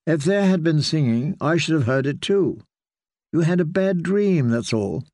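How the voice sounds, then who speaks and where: A man doing an anxious young noblewoman, soft, coaxing, dry close sound. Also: a little high, lively intonation, unhurried, dry recording.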